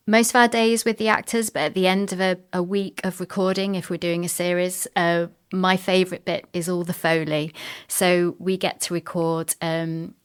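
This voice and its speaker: Low-pitched middle-aged woman, chatty and explanatory. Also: clear, resonant tone. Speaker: radio drama producer and sound designer, podcast guest. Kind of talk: casual interview chat.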